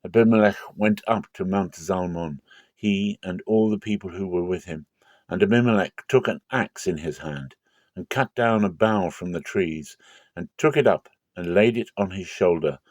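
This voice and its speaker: Guy reading the bible dramatically, solemn and measured, deep mellow voice, really dry recording. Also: no echo. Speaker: Bible reader.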